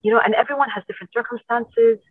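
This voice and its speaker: A woman's voice: a little high, steady tone, quick pace, husky, no echo. A woman from Iraq talking as a doctor guest in a conversational interview, explaining things in a hedging way and often repeating phrases. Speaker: doctor, obstetrician and gynecologist guest. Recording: muffled phone-like call, distant from mic.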